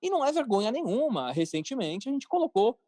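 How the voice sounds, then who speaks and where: A man's voice: very high voice, very animated, fast, warm tone, dry recording. A man with a Brazilian Portuguese accent speaking casually and emphatically as a podcast guest, often repeating phrases to drive points home. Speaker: podcast guest, cartoon creator and entrepreneur. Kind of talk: casual interview talk.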